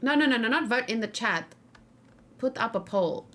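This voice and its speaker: A woman's voice: fairly high pitch, animated, normal speed, fairly bright tone. A woman streaming a video game, thinking aloud informally and talking casually with her viewers. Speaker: video game streamer, let's play host. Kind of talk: casual live game commentary, chatting with viewers.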